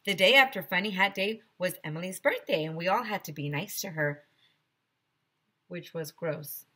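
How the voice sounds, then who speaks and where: A woman's voice: on the low side, normal speed, sharp tone. A woman teacher reading a children's story aloud expressively, with chatty asides to young listeners.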